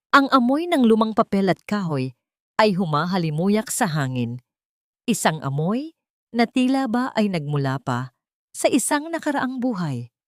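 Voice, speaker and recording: A woman narrates a dramatic story in a measured, deliberate way. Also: lowish voice, no room sound, clean studio sound. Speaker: audiobook narrator.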